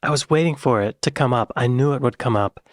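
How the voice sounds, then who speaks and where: American guy reacting, excitable then muttering, dry close mic. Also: mid-range voice, quick pace, no room sound. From United States. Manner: chatty, excitable, drops to muttered asides. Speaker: reaction video host. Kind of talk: casual reaction commentary.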